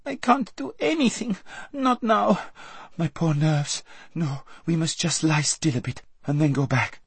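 A squeaky, fretful young woman whimpers in a high, trembling voice, as a male reader puts her on. She sounds timid and pampered, her pitch leaping up well above the narrator's. It is a storybook reading. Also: unhurried, vocal fry, husky, no echo, heavily acted. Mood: whimpering.

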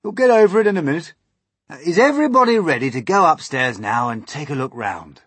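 A man's voice: fairly high pitch, expressive, a little fast, fairly bright tone, breathy edge, no echo, voice pushed up. A man voicing a kindly old male grasshopper, hopeful and reassuring, in a children's storybook reading. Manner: encouraging, hopeful.

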